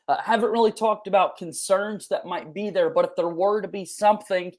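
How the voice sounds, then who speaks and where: A man's voice: sits high, a little mellow. A man hosting a sports podcast, asking questions and setting up topics in a conversational interview style.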